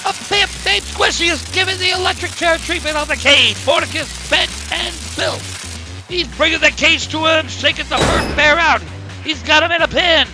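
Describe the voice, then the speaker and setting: A man acts as an excitable play-by-play wrestling announcer in a cartoon. His voice is very high for a male, delivering scripted commentary over clearly audible music. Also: moderate pace. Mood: intent.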